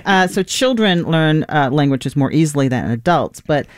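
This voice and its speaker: A man hosting an interview, asking questions and chiming in with quick agreement. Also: on the high side, lively intonation, no room sound, clean studio sound. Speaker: host.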